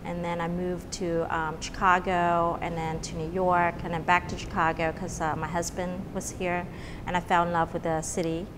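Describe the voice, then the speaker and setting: Chatty woman from Vietnam, low voice. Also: level tone, moderate pace, in a large hall, background noise. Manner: chatty, many fillers and restarts. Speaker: restaurant owner. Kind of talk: casual interview. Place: restaurant dining room.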